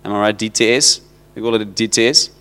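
South African pastor in a reverberant hall. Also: male voice, lowish voice, steady tone, a bit bright, clean tone. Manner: halting, repeats words, switches into Afrikaans. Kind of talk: informal church sermon. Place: church hall.

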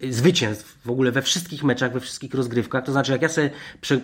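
A man's voice: mid-range voice, husky. A man discussing football as a panel pundit, unscripted and wordy, often breaking off and restarting his sentences. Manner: wordy, digressive, halting with repeated restarts.

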